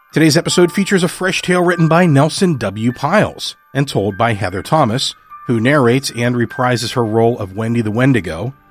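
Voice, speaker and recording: A man speaking as a podcast host, giving a measured, sincere scripted introduction to listeners. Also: medium pitch. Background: music.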